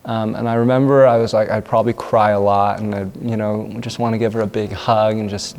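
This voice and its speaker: A young man in his twenties, born in northern China, speaking softly and reflectively about his adoption. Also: on the low side, level tone, fairly quick. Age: 20s. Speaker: interviewee. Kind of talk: personal testimony.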